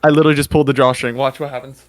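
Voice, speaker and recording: A man with a naturally loud voice, chatting casually as a player on a video call through a desk microphone. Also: medium pitch, level tone, racing, no room sound. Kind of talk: casual chat. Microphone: USB desk mic on a video call.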